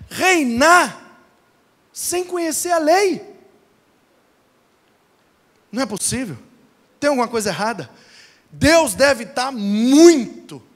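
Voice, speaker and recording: A man's voice: extremely high pitch, fairly bright tone, exaggerated voice. Man playing a distraught young king in a reverberant hall. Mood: incredulous. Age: young adult. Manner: exclaiming, repetitive, impassioned questioning. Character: distraught young king, aged twenty-six, pleading and fearful.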